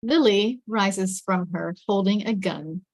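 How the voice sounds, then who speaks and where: A woman's voice: medium pitch, slow, dry recording, rough recording. A woman reading stage directions as the narrator of a scripted drama table read.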